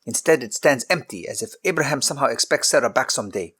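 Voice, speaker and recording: A man's voice: mid-range voice, lively intonation, fast, fairly bright tone, breathy edge. A man voicing an agitated young boy complaining with resentment. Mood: resentful.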